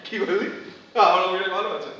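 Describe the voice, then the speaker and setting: A man's voice: sits high, steady tone, fast talker, sharp tone. A man acting a bitter, scornful performer weary of fans in a stage play. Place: theatre stage. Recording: dull, noisy, echoey stage recording.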